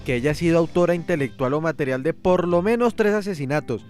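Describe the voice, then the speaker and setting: A man's voice: a little high, fast, darkish tone, faint music behind, lightly acted. A man playing a defiant accused priest who denounces his judges emphatically in an audio drama. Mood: indignant, accusing.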